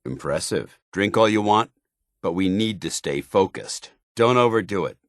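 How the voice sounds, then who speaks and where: An actor playing a stern, loyal soldier in a video game, speaking in a clipped, military, commanding manner. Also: male voice, low-pitched, animated, normal speed, no room sound, studio quality. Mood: measured, businesslike. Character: stern, loyal male soldier, zealous armored paladin companion. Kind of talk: scripted video game companion dialogue.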